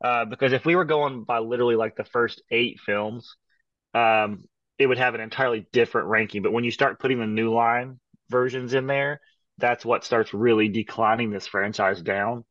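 A man's voice: mid-range voice, steady tone, normal speed, fairly bright tone, no room sound, lo-fi sound. Stuffy-nosed male podcast host, distant. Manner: hesitant, repeats words while thinking. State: has a cold, slightly nasal. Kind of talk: casual podcast chat.

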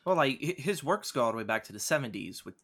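A man's voice: mid-range voice, big pitch swings, fast, fairly bright tone, husky. A man guesting on a podcast, with a relaxing voice and a rambling way of telling things. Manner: rambling, repeats "it's like". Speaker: podcast guest, online storyteller. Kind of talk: casual podcast chat.

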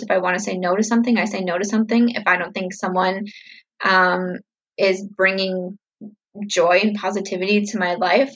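A woman's voice: medium pitch, a bit bright, muffled recording. A woman in her thirties answering viewer questions in a casual, upbeat, reflective chat full of fillers. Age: 30s.

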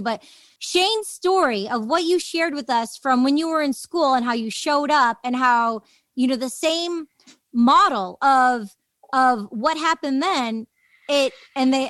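A woman's voice: high voice, measured pace, fairly bright tone, dry recording. A woman hosting a conversational interview, speaking casually and emphatically as she addresses guest and audience directly. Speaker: interview host.

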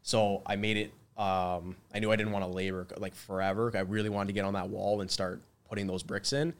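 Twenty-something guy, kinda low voice, chatty, full of um and you know. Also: little pitch movement, a little fast, clear, resonant tone. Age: 20s. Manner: laughs often, lots of fillers. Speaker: guest, mason. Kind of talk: casual interview chat.

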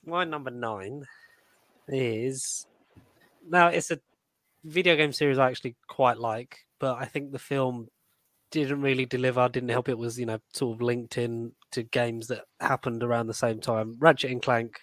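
A man's voice: mid-range voice, fairly even intonation, normal speed, a bit bright, dry recording. A man talking casually as a podcast panelist. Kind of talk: casual chat.

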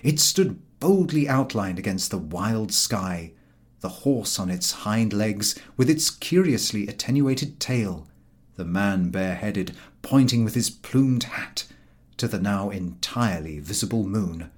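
A man reading a comic story aloud as scripted audiobook narration, in an expressive storytelling style. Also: lowish voice, a little slow. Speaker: audiobook reader.

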